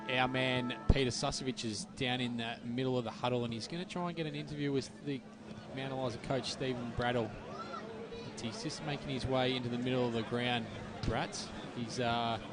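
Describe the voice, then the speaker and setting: Chatty footy caller. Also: male voice, medium pitch. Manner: chatty, matey banter. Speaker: football commentator. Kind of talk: live sports commentary. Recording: outdoor commentary with crowd.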